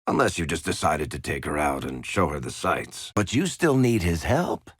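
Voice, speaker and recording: A man's voice: low voice, sing-song, moderate pace, fairly bright tone, husky, dry recording, studio quality, exaggerated voice. A man playing a sardonic, teasing dwarf rogue and storyteller in a video game. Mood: dry, probing. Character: wry dwarf rogue storyteller, shifty charming smuggler type. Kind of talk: video game character dialogue.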